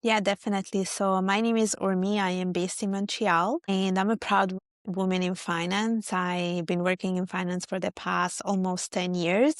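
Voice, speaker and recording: A woman's voice: mid-range voice, flat delivery, moderate pace, few pauses, no room sound, clean studio sound. A woman in her thirties from Italy, a guest chatting easily about her life in a podcast interview. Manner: friendly, chatty, lists things out. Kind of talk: casual interview chat.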